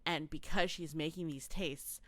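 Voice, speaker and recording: A woman with a notably low voice, chatting as a podcast co-host. She tells stories in an animated, rambling way, full of "um" and "like". The sound is dry and close. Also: a little slow, no echo. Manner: chatty, animated storytelling with many fillers. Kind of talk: casual chat.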